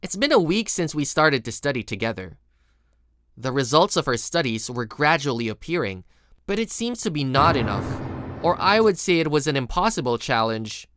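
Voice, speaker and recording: An actor playing a studious, reserved teenage high school boy who narrates and speaks in a manga video, introspective and a little hesitant. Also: sing-song, moderate pace, a bit bright, slight background noise. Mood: reflective.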